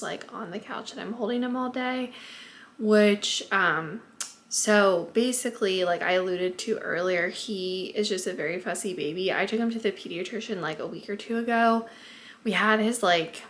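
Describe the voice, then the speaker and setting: A young woman vlogging casually at home as a stay-at-home mom, chatty and often hushed, congested and a bit raspy from allergies. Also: medium pitch. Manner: chatty, lots of "like" and "um", often hushed to not wake the baby. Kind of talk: casual vlog talk to camera.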